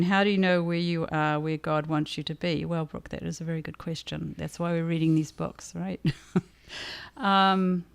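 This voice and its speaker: A woman with a notably low voice and plenty of vocal fry co-hosts a casual livestream. Her intonation stays quite flat as she thinks her way through answers to audience questions, halting and conversational. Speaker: author and livestream co-host.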